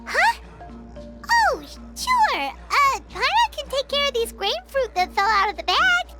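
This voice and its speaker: A woman voicing a small, chatty, childlike fairy companion girl in a video game, squeaky and eager. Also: sing-song, unhurried, over loud music, exaggerated voice. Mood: puzzled, eager. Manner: refers to self in third person, exclamatory. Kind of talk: video game character voice acting.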